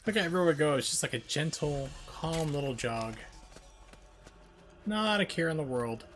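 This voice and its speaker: A man's voice: fairly high pitch, animated, moderate pace, a bit bright. Wry male game streamer, music behind. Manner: wry, sarcastic. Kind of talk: casual live gameplay commentary.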